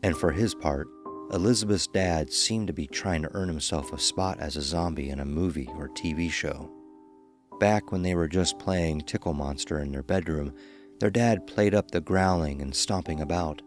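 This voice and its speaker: A man's voice: low-pitched, normal speed. A man narrating a scripted horror story. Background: faint music.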